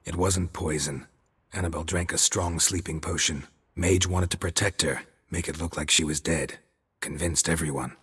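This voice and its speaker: A gruff, stoic monster hunter from a fantasy game. His voice is extremely low and thick with rasp. He speaks in terse, calm, matter-of-fact phrases. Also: normal speed, exaggerated voice. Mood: grim, flat. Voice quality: gravelly, husky. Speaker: player character in a fantasy video game. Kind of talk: video game voice acting.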